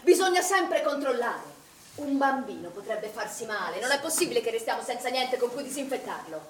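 An actress playing a stern, probing swimming pool manager in a naturalistic stage drama, insistent and scolding in manner. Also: female voice, on the high side, big pitch swings, brisk. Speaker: actor. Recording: echoey, distant stage recording with noise.

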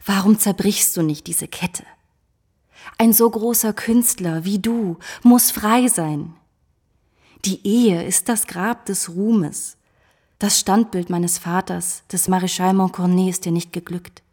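A woman playing a seductive, scheming mistress in a radio drama, with a coaxing, theatrical delivery that often turns breathy. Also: mid-range voice, slow pace, dark tone. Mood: passionate, beguiling. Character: seductive, scheming married mistress, flattering and calculating. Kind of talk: German radio play acting.

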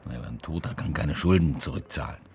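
An actor playing a sober, sceptical middle-aged police detective in charge, in a naturalistic radio drama, with a husky voice. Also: male voice, extremely low pitch, fairly quick, darkish tone, a little hiss or hum. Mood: sober, questioning. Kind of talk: naturalistic radio play dialogue.

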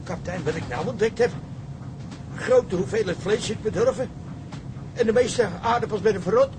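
Guy doing flustered stammery ship cook, radio drama, high pitched, noisy audio. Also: moderate pace, natural voice. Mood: agitated. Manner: stammering, agitated. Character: flustered, anxious ship's cook.

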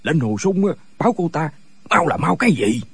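A man voices a brash wuxia busybody, always needling. His voice is very raspy and fairly high for a man, jumping around in pitch. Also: very animated, a little fast, dry recording, heavily acted. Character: brash, nosy, quarrelsome martial-arts man, needling others. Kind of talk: dramatized wuxia audiobook dialogue.

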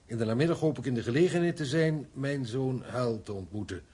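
An actor playing a concerned, encouraging friend in a radio play, speaking in an earnest, urging way. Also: male voice, mid-range voice, a little fast, darkish tone, husky, close to an everyday voice.

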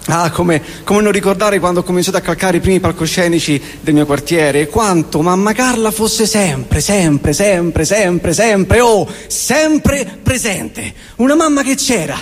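A man's voice: high-pitched, animated, slight background noise. Roman man's stage monologue in a reverberant hall. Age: 40s. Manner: emphatic repetitions and deliberate pauses. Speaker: stage performer. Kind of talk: theatrical comic monologue. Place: live theatre performance in a hall. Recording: long hall reverb of a live venue.

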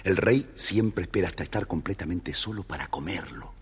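Narrador argentino grave, voz muy ronca. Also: male voice, low-pitched, expressive, normal speed, slightly rough. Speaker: storyteller, narrator. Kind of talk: scripted children's story narration. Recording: reverberant studio, music behind.

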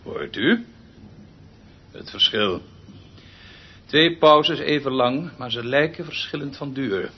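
Pompous, husky orchestra conductor. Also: male voice, medium pitch, expressive, highs cut off. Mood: lecturing, pedantic. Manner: theatrical, pedantic, deliberate with pregnant pauses. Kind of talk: radio drama acting.

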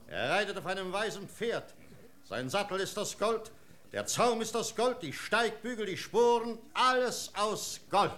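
An awestruck young fortune seeker in a radio drama. His voice is high and bright, full of eagerness. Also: lively intonation, unhurried, full character voice. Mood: eager, awed. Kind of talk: German radio drama acting.

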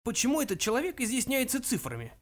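A scornful ancient ghost of a great-grandfather, acted out by a male storyteller, creaky and high in pitch. He scolds sternly and pompously, like a grumpy storybook elder. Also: huge pitch swings, fast, full character voice. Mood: grumbling.

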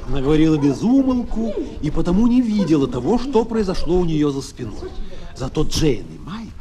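An actor playing a lively storyteller, narrating a dramatised children's storybook. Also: male voice, fairly high pitch, a little fast, crowd noise. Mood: expressive storytelling.

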